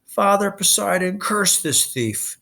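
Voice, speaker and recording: A man voicing a savage old male Cyclops monster in a storybook, bellowing crudely in broken, drawn-out words. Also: high voice, lively intonation, gravelly, bright, forceful tone, pitched above the performer's own voice, exaggerated voice. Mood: furious.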